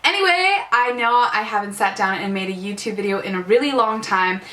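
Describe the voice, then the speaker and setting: A woman's voice: mid-range voice, animated, brisk, sharp tone. A young woman vlogger chatting casually and animatedly to camera, giggling often. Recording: roomy room, distant mic, faint music.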